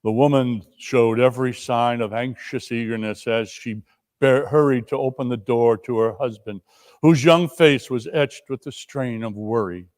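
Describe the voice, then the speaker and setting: A man giving a dramatic reading of a classic story, with deliberate, solemn, theatrical phrasing. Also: medium pitch, darkish tone. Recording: reverberant hall.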